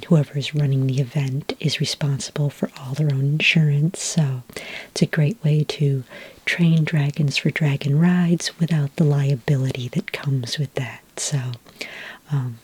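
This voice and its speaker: A woman playing a patient, helpful dragonmaster in a soft-spoken ASMR roleplay, whispering breathily and explaining gently and hesitantly up close to the microphone. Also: deep voice, husky, heavily acted. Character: patient, helpful female dragonmaster giving business advice. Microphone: close microphone in a dry space.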